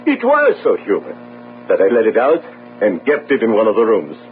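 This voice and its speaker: An actor playing a poor, guilt-ridden sailor in a radio drama, earnest, defensive and pleading. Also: male voice, lowish voice, huge pitch swings, normal speed. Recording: narrow phone-like radio audio, faint noise.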